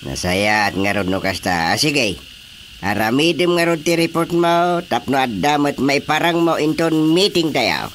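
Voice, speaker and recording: A man's voice: fairly high pitch, measured pace, a bit bright, slight background noise. A man playing an authoritative village chairman who questions his staff in an Ilocano radio drama. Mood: businesslike.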